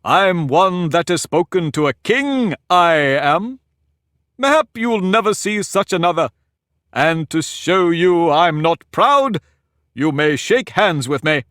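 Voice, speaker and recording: A man voicing Humpty Dumpty, pompous and high. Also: expressive, takes it slowly, fairly bright tone, no echo, studio quality. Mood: lordly. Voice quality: boastful, argumentative, grand. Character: pompous, touchy talking egg, male. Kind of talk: audiobook character reading.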